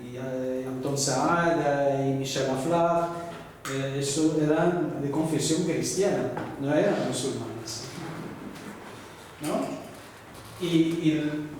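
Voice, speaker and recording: A man's voice: medium pitch, slow pace, long pauses, a little mellow, far from the mic, slight background noise. Lecturing man heard from afar in echoey hall. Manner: explanatory, frequent "¿no?" tag questions. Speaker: university lecturer. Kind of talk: classroom discussion lecture. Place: large echoey classroom.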